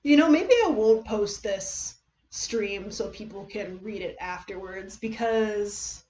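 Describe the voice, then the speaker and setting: A woman chatting casually to viewers as a livestream host, halting and rambling, often trailing off and correcting herself. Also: medium pitch, darkish tone, highs cut off, slight background noise. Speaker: livestream host reading fan fiction.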